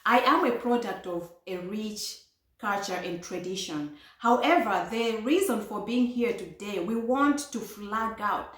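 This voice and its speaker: A woman's voice: medium pitch, animated, unhurried, a bit bright. Earnest woman host, echoey room. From Malawi. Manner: earnest, laughs between phrases. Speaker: host and empowerment coach. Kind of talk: talk show introduction.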